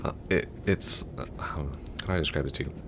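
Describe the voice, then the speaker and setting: A man's voice: fairly quick, background noise. A young man playing a chatty, goofy, affectionate long-distance boyfriend in a roleplay audio, talking casually in a rambling, hesitant way. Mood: chatty, mildly exasperated. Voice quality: very deep, gravelly.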